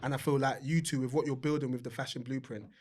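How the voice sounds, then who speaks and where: A man's voice: medium pitch, steady tone, quick pace, a little mellow. A man hosting a podcast, chatting casually in a laid-back, slangy way. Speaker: podcast host.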